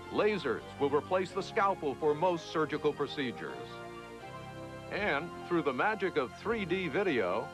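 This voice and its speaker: A man reading scripted narration for a television news special in a measured, emphatic way. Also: a little high. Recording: 1980s television on VHS, music underneath. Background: background music.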